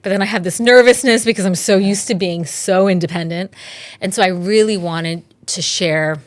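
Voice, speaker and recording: A woman's voice: mid-range voice, normal speed, no room sound. A woman in her forties, pregnant, hosting a podcast interview in an enthusiastic, conversational manner and laughing easily. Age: 40s. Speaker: podcast host.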